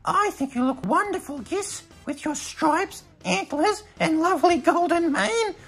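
A cheery toy animal voiced by a woman in a children's puppet story. She sounds high, sweet and playful, with faint music behind. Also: expressive, a little slow, fairly bright tone, heavily acted.

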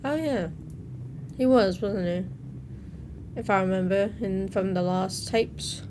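A woman's voice: medium pitch, slow pace. A young adult woman giving casual reaction commentary, reading captions aloud and interjecting informally between them. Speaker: reaction video host. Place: small room at home, indoors. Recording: noticeable background noise.

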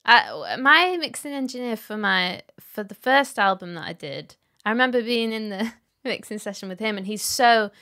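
Young female podcast host, bright tone; easygoing, laughs often, home studio desk mic. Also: a little high, lively intonation, moderate pace, hardly any pauses. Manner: upbeat, laughs easily. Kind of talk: casual interview. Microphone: headphones with desk mic.

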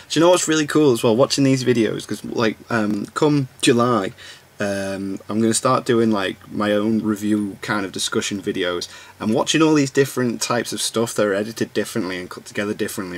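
A man in his thirties giving casual, unscripted reactions in a laid-back, approving way, as host of a reaction video. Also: lowish voice. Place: small room at home.

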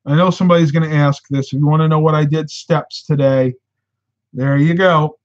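A man in his thirties, hosting a casual live stream from a room at home, talking animatedly and getting a bit hoarse. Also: a little high, fairly quick. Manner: animated, gestures with hands while talking. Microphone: webcam at desk. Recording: slightly dull lo-fi webcam sound.

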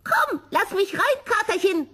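A woman's voice: extremely high pitch, sing-song, a little slow, pushed and bright, pitched above the performer's own voice, full character voice. A woman voicing a cheeky, mischievous kobold in a storybook, with a wheedling, teasing manner.

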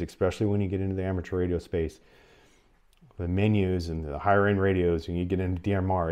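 Low, dark-voiced North American man. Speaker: presenter of an emergency radio advice channel. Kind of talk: explanatory advice talk to camera. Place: small furnished room at home, indoors.

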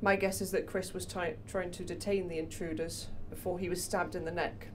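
Actress plays a matter-of-fact detective presenting evidence; faint background noise. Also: female voice, lowish voice, little pitch movement, a little fast, lightly acted. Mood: serious. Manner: methodical, matter-of-fact case summary. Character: female police detective reporting to her boss.

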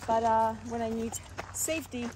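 A woman's voice: on the high side, fairly even intonation, moderate pace. A middle-aged woman explaining things earnestly in a casual vlog, with non-native phrasing. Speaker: dog owner and vlogger. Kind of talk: casual vlog talk giving dog advice. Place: outdoors on a wooded hiking trail. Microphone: camera held by another person, a few steps away. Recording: noisy outdoor handheld camera audio.

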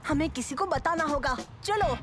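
A woman voicing a bold, clever young boy inventor who leads his friends in an animated comedy. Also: high-pitched, moderate pace, close to an everyday voice. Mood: urgent.

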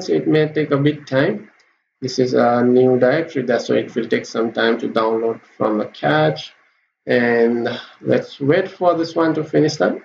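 Male coding tutor, gravelly voice, halting delivery, roomy lo-fi recording. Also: mid-range voice, darkish tone. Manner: halting, many fillers and restarts. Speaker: programming tutor. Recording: roomy, dull lo-fi with faint hiss.

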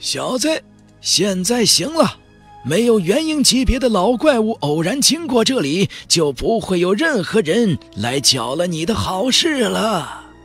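A man voicing a boastful, hearty old immortal Taoist master in a fantasy audiobook, with drawn-out delivery. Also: high voice, big pitch swings, a bit bright, husky, soft background music, voice pushed up, exaggerated voice. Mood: assured. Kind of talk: audiobook character acting.

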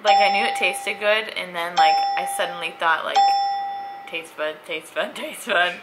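A woman's voice: on the low side, moderate pace, heavy background noise. A young woman co-hosting a vlog, bubbly and saying "like" a lot, filmed at arm's length. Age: young adult. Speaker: vlogger co-host. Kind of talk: casual vlog chat. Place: living room, indoors. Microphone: handheld camera at arm's length.

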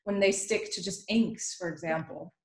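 A woman's voice: fairly low pitch, normal speed. Young woman artist talking shop on a video call, lots of "like", room echo. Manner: relaxed, thoughtful, lots of "like" and "you know". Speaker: digital illustrator on an online panel. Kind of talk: casual conversation about art workflow. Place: home studio, indoors.

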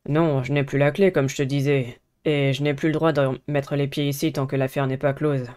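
A woman's voice: a bass voice, level tone, moderate pace, darkish tone, clean tone, voice pushed down. A woman voicing a stressed, earnest teenage boy, a student delegate in a visual novel game.

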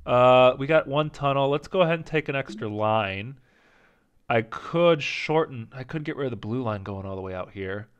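A man casually commenting as he plays a strategy game, thinking aloud and second-guessing his choices, with a slight rasp and an occasional cough. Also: mid-range voice, lively intonation, normal speed, dull audio. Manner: thinking aloud, indecisive, self-talking. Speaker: gaming channel host. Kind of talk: casual let's-play commentary.

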